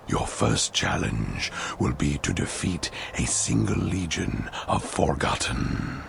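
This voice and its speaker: A man voicing an ancient, regal ghostly hero in a video game, with a breathy rasp and solemn, theatrical pronouncements. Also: a bass voice, noisy background, full character voice. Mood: solemn, portentous. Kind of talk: video game cutscene acting.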